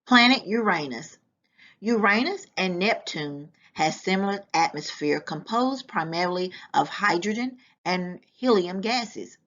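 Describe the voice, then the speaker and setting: A woman reading a nonfiction book aloud to children in a deliberate, instructive way. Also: on the low side, animated, a bit bright. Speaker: volunteer children's book reader. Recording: dull, lo-fi sound.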